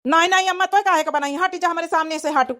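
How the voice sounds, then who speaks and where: Cartoon role: woman performer as hot-headed young Awadhi village husband, high voice, indignant, complaining delivery. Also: fairly even intonation, fast, fairly bright tone. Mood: indignant, angry. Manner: indignant, complaining rural speech. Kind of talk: Awadhi comedy cartoon voice acting.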